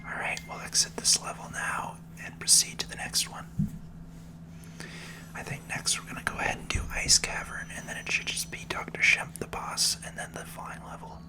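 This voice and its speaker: A person whispering soft, unhurried ASMR commentary while playing a video game, thinking aloud along the way. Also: low-quality recording. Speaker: gaming video host. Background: video game music.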